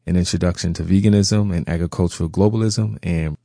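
American guy, middle aged, low mellow voice, close dry sound, chatting in an interview. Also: steady tone, fairly quick, vocal fry, no echo. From Brooklyn, New York. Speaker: interview guest, farmer and author. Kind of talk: conversational radio interview.

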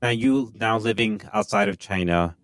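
A male interviewer speaks on a radio programme. His voice is slightly low, and the sound is dry, with no audible room. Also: moderate pace, fairly bright tone, dry recording.

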